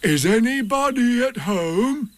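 A man voicing an amiable, simple-minded storybook bear who speaks in a slow, earnest, drawn-out way. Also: very high-pitched, expressive, fairly bright tone, no echo, pitched above the performer's own voice, heavily acted. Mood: calling out. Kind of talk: children's storybook reading.

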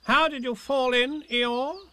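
A man voicing a fussy, self-important male rabbit in a storybook reading, speaking briskly and officiously. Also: extremely high pitch, fairly even intonation, bright, forceful tone, clear, resonant tone, voice pushed up, full character voice.

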